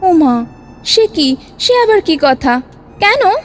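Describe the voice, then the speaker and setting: A woman's voice: very high voice, big pitch swings, takes it slowly, a little hiss or hum, full character voice. A woman playing a curious, easily shocked young wife in a horror audio drama, with an exclamatory delivery. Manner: exclamatory, questioning, stammers when frightened.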